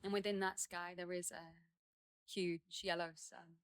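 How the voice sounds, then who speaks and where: A woman leading a guided meditation outdoors, speaking in gentle, unhurried phrases with many pauses and soothing instruction. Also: fairly low pitch, flat delivery, no room sound. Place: outdoors in a garden.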